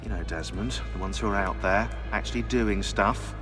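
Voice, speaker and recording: An actor playing a sarcastic, condescending archivist in a game cutscene. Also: male voice, on the low side, normal speed, big-room sound, music in the background, lightly acted. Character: sarcastic snobbish man, dry and condescending. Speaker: archivist and tactical support.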